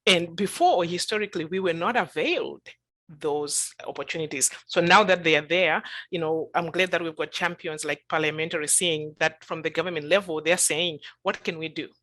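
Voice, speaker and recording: A woman's voice: deep voice, normal speed, fairly bright tone. A woman panelist speaking emphatically on a video call, repeating her key points for weight. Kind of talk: panel discussion remarks.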